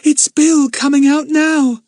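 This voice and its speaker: A man voicing an excitable, affectionate little girl with a gushing, keen manner in an audiobook. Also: high-pitched, moderate pace, no echo, studio quality, pitched above the performer's own voice. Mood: overjoyed. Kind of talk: audiobook character voice.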